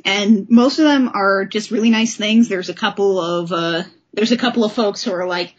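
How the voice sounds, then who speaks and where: A woman podcast host talks in a chatty, enthusiastic way at an ordinary pitch. Her voice is a bit breathy and rough-edged, and the audio sounds slightly muffled and lo-fi. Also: normal speed. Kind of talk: casual comic book discussion.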